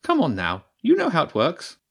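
A man's voice: a little high, huge pitch swings, moderate pace, gravelly, a bit bright, breathy edge, pitched above the performer's own voice, full character voice. A man voicing an impatient, sardonic female badger scientist in a storybook reading, dry and mocking. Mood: curious, sly. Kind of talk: audiobook character voice.

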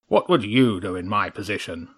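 A man's voice: on the low side, animated, normal speed, a bit bright, breathy edge, heavily acted. Male narrator as cold crow leader, stern, curt, authoritative, children's storybook character. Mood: commanding. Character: stern, cold chairman crow ruling a council.

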